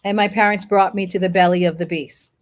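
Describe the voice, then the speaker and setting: A middle-aged woman born in Haiti and raised in the United States, explaining history as an interview guest in emphatic, short phrases broken by pauses. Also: mid-range voice, fairly even intonation. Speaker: guest speaker, lawyer and activist.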